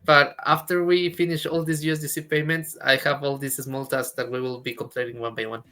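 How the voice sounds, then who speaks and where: A man co-hosting a live stream, reading viewer questions aloud and reacting excitedly. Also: medium pitch, a little fast. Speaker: co-host community manager. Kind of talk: casual live stream chat.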